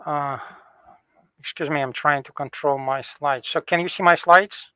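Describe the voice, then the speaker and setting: A man's voice: a little high, fairly even intonation, normal speed, pushed and bright, clean tone, no room sound. A man presenting his finance project from slides on a video call in a businesslike way.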